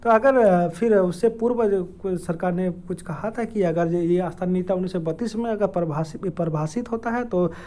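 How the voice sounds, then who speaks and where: A man with a Jharkhand accent giving an informal explainer in a halting, hesitant way, with many filled pauses and repeated words. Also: high voice, mellow. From Dumka district, Jharkhand, India. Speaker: educational video creator. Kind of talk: informal explainer with screen walkthrough.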